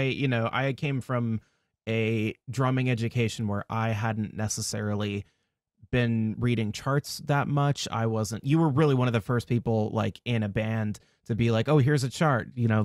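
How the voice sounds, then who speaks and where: A man's voice: mid-range voice, no room sound, clean studio sound. A young man hosting an interview, asking questions and recalling stories in a chatty, friendly way full of fillers. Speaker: interviewer host. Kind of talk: casual interview conversation.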